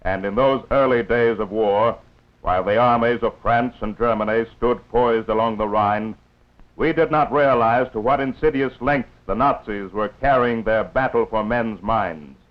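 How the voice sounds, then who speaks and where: A man's voice: mid-range voice, fairly even intonation, normal speed. A man narrating a 1940s wartime newsreel in a grave, oratorical, scripted style. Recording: dull lo-fi 1940s film soundtrack with music.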